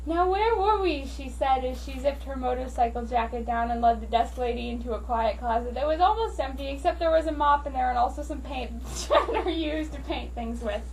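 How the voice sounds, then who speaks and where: A woman's voice: high voice, a little fast, hardly any pauses, darkish tone, recorded from a distance, slight background noise, low-quality recording. A woman giving a dramatic reading of a story as an amateur narrator. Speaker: amateur reader of fan fiction.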